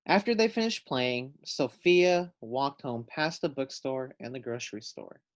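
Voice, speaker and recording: A man's voice: medium pitch, a little slow. A man reading a children's storybook aloud, adding simple explanatory asides for young listeners. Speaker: storybook reader.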